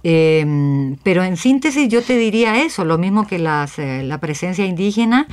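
A woman's voice: fairly low pitch, lively intonation, normal speed. A woman from Chile, explaining her ideas in a radio discussion and often adding short check-in tags. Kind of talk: reflective discussion in a radio interview.

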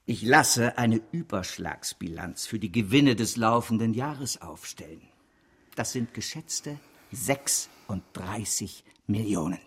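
A man's voice: medium pitch, a bit bright, husky, exaggerated voice. An actor playing an ambitious, persuasive male financier in a German radio drama, speaking with grand emphasis.

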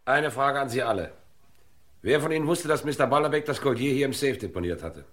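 An actor playing a brisk, shrewd police inspector in a 1960s German radio crime play, clipped and authoritative. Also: male voice, mid-range voice, expressive, a bit bright, faint music behind, lightly acted. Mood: brisk, probing.

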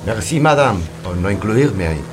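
A man's voice: fairly low pitch, expressive, normal speed, over music, lightly acted. A man playing a suave, sardonic French official in a radio play, speaking wryly. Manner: wry, sprinkles French exclamations. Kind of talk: radio theatre acting. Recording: clear ambient engine noise.

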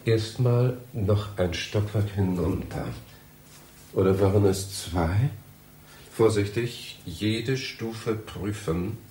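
A man acting in a German radio play as a bewildered, injured visitor lost in a strange library, muttering and halting. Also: fairly low pitch, dark tone, slight background noise. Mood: wary, muttering.

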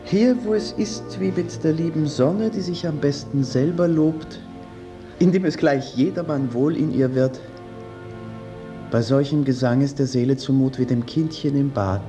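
A man playing a warm, playful eighteenth-century composer in a radio play, speaking in a heartfelt, ornate way. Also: fairly high pitch, over music, lightly acted. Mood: moved, gallant. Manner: unhurried, heartfelt, ornate speech. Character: Mozart as a warm, effusive, playful Viennese composer. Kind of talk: German radio play acting.

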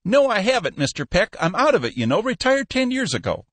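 A man's voice: huge pitch swings, a little fast, a bit bright, no echo, heavily acted. A man doing a sly, purring old shipping boss. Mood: sly amiable. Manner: high, purring, amiably sly. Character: shrewd, meddling old male shipping boss.

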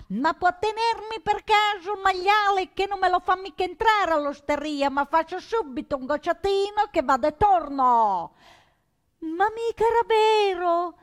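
A woman playing an excitable, naive young villager, telling a story in a chatty, repetitive, breathless way for radio theatre. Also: very high-pitched, exaggerated voice. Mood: excited gossip.

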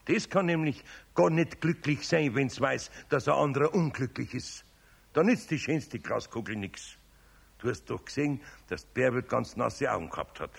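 An older Bavarian craftsman, gruff but kindly and patient. His voice is husky and gravelly, with lively swings in pitch, in the style of a 1970s children's radio play. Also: normal speed. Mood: earnest, patient.

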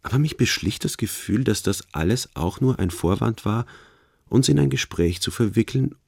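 Deep, gravelly, formal soldier narrator. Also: male voice, animated, normal speed, darkish tone, no echo, studio quality, heavily acted. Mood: detached. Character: callous, naive soldier recounting a river mission in wilderness. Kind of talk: German radio play narration.

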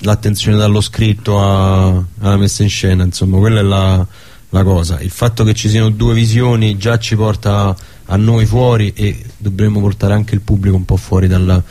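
A man talking as a guest in a radio interview, halting and often rephrasing himself as he explains. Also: lowish voice, little pitch movement, warm tone. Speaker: theatre director, guest. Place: radio studio.

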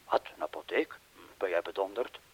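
Een acteur die in een hoorspel een aarzelende, bezorgde inbreker speelt, hees en vragend. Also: male voice, low voice, lively intonation, fairly quick, slight background noise. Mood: reluctant. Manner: doubtful, questioning. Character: hesitant, anxious burglar worried about his mate. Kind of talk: Dutch-language radio drama acting. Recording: dull lo-fi radio play recording.

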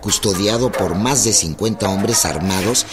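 A man's voice: on the low side, measured pace, close to an everyday voice. A man acting as the solemn narrator of a historical radio drama, reading scripted narration. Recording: music behind the voice.

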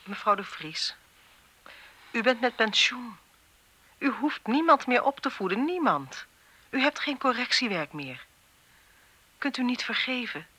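A female police investigator in a radio drama. Her voice is bright and a little high. She speaks in a measured, firm way, questioning and counselling with compassion. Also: lively intonation, exaggerated voice. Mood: firm, sympathetic. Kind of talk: Dutch radio play acting.

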